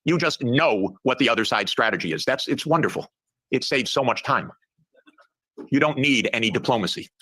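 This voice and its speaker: A man speaking as a guest analyst, deliberate and dryly sarcastic in his commentary. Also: mid-range voice, expressive, bright, forceful tone, husky. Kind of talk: measured commentary.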